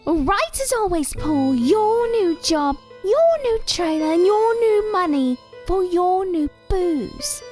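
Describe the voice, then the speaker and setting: A woman's voice: very high voice, big pitch swings, takes it slowly, few pauses, a little mellow, faint music behind, full character voice. A woman playing a sarcastic, foul-mouthed old female ventriloquist's dummy in an audio drama, theatrical and biting.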